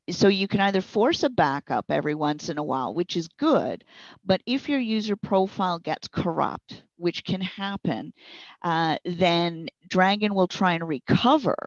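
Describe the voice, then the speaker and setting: A woman's voice: fairly low pitch, animated, unhurried, no echo, highs cut off. A woman working as a trainer, giving practical, step-by-step explanations with examples in an interview. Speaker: speech recognition consultant and trainer.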